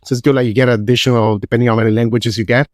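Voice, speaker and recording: A man hosts a podcast, talking at a brisk clip and scattering fillers through his questions. His voice sits in a middling range and sounds dry and close to the mic. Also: mid-range voice, level tone, dry recording. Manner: quick and chatty, many "like" fillers. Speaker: podcast host. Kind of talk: casual interview talk.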